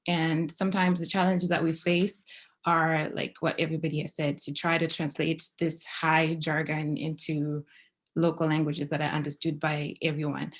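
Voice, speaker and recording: A young woman from Zimbabwe speaking as a panelist, explaining steadily with frequent pauses and fillers. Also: deep voice, little pitch movement. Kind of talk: panel discussion over video call.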